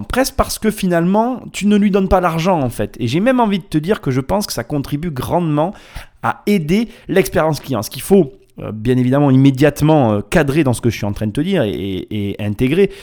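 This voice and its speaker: A man's voice: a little high, darkish tone. A man hosting a solo podcast in an informal, playful and emphatic way, often wandering off on tangents. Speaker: podcast host. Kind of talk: casual solo podcast monologue.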